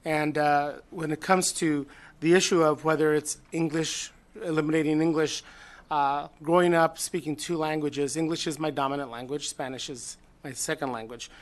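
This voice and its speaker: Man testifying in a slightly raised voice. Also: fairly high pitch. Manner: measured, with halting pauses. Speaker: teachers' union president. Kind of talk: formal public testimony. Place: legislative committee hearing room.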